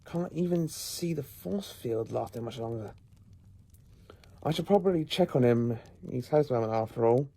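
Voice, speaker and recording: A man playing an analytical, protective professor in an audio drama, measured and musing aloud. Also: mid-range voice, lively intonation, vocal fry, warm tone, no room sound. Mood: thoughtful, grave. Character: calm, analytical male professor and protector battling the supernatural.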